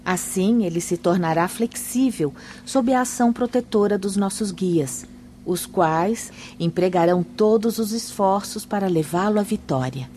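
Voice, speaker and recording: A woman playing a serene, grave spirit instructor in a radio drama, explaining in a measured, solemn way. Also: medium pitch, warm tone, slight background noise, natural voice. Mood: solemn, reassuring.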